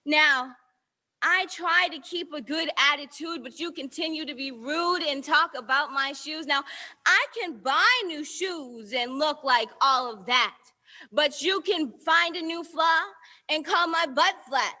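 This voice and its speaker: Teenage girl, spoken word poet, rhythmic rhyming delivery, high voice, bright tone. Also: a little slow. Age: teens. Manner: rhythmic rhyming recitation, defiant at times. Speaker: student poet. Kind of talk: spoken word poetry performance.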